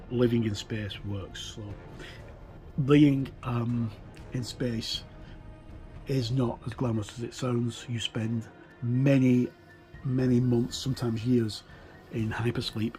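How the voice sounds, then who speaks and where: A man's voice: mid-range voice, mellow, poor audio. A middle-aged man giving a casual walkthrough commentary on a book, hesitant and often correcting himself. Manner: hesitant, halting, self-correcting. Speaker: game reviewer.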